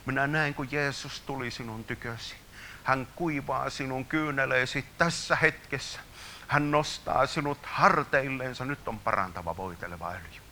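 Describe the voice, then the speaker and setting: A man leading a charismatic healing prayer, prophesying over people in a fervent style that swings between hushed murmurs and loud declarations. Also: fairly low pitch, a little hiss or hum. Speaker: preacher.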